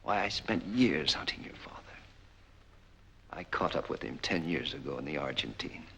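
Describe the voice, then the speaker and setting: Deep-voiced, vengeful noir heavy. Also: male voice, expressive, creaky, fairly bright tone, exaggerated voice. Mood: bitter, intense. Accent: American. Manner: cold, commanding, deliberate. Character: vengeful, menacing man hunting his father's betrayer. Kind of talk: 1940s film noir acting. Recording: noisy 1940s film soundtrack.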